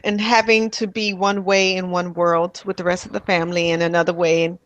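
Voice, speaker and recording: A woman from Miami being interviewed about her childhood, speaking softly and thoughtfully with pauses and light laughs. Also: on the low side, level tone, highs cut off. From Miami, USA. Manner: soft-spoken, thoughtful, with pauses and fillers. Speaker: interviewee, spiritual healer. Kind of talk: reflective personal interview.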